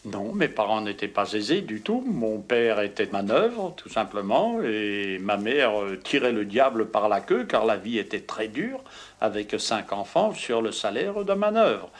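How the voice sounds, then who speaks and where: Measured, formal man in his seventies. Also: on the low side, big pitch swings. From Brittany. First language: Breton. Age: 70s. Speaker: interviewee, retired print worker. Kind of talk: interview answers.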